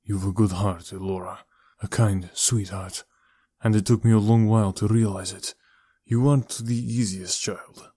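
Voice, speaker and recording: Man as a low, weary, grave older uncle. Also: breathy edge, dry recording. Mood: solemn, tender. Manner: measured, deadpan, subdued. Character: grave, weary, aging uncle, protective and regretful. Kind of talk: audiobook character dialogue.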